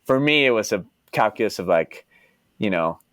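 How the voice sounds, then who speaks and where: A young man from Texas talks in a relaxed, meandering way, leaning constantly on "like" and "you know" as he works through his thoughts. His voice sits fairly low and comes across close and dry, with no room sound around it. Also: moderate pace, no room sound. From Dallas, United States. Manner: rambling, heavy use of "like" and "you know". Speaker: podcast guest. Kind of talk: casual interview chat.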